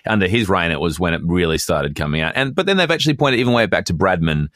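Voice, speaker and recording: An Australian man co-hosting a podcast, chatting casually about sport in a measured, discursive storytelling way. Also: deep voice, expressive, fairly bright tone, no room sound, studio quality. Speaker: podcast co-host.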